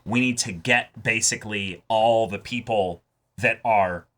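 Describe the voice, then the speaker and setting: A man's voice: lowish voice, a little slow, fairly bright tone. A man co-hosting a show, talking in casual, emphatic commentary with repeated words for stress. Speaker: co-host of anime reaction show.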